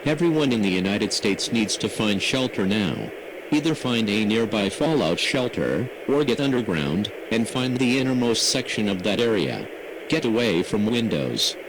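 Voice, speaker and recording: A man reading a scripted emergency broadcast announcement in a formal, measured, impersonal manner. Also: on the low side, lively intonation. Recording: noisy emergency radio broadcast audio.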